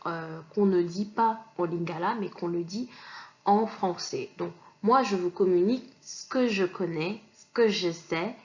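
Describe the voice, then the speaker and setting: A woman's voice: lowish voice, a little mellow, dull audio. A woman from Kinshasa teaching an online language lesson, explaining patiently with many fillers and restarts. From Kinshasa, DR Congo.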